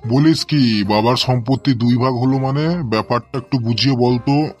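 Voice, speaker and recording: A man's voice: medium pitch, moderate pace, darkish tone, highs cut off, soft background music, lightly acted. A man voicing an exasperated, threatening male schoolteacher in a comic cartoon, scolding and sarcastic, with a Bengali accent.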